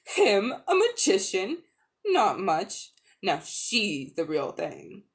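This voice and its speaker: A woman voicing a plucky, soft-hearted young boy in a storybook, with a blunt, exclaiming manner. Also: medium pitch, huge pitch swings, takes it slowly, exaggerated voice. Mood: scornful.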